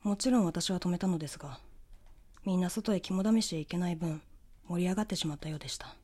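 A woman reading a scripted horror story in the first person, with measured storytelling delivery. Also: on the low side, warm tone, husky. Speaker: ghost story narrator.

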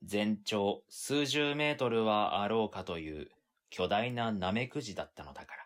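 A man's voice: fairly low pitch, moderate pace, a bit bright, natural voice. A man playing an exasperated, long-suffering young man who narrates his own story in an audio drama. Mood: stunned narration. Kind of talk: first-person scripted narration in audio drama.

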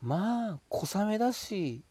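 A man hosting a casual solo talk show, speaking politely with drawn-out hesitations between phrases in a dry, close room. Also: high voice, very animated, dark tone, husky, dry recording. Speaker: podcast host.

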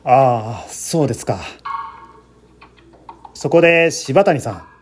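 A man voicing a calm, shrewd police inspector who questions a suspect in a measured, probing way in a radio mystery. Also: mid-range voice, slight background noise, voice pushed down.

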